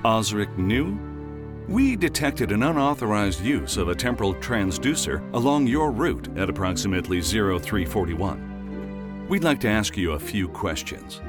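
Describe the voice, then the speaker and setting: A man's voice: on the low side, very animated, moderate pace, music in the background. A man playing a formal, by-the-book time-police officer in an audio drama, with a businesslike official manner. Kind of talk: full-cast audio drama acting.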